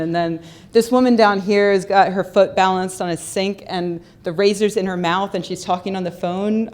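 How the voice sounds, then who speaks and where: A young adult woman giving a humorous, sarcastic talk into a microphone on a lecture hall stage, with plenty of ums and repeated words. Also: mid-range voice. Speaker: public speaker. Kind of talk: humorous skeptical conference talk. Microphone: handheld or stand microphone.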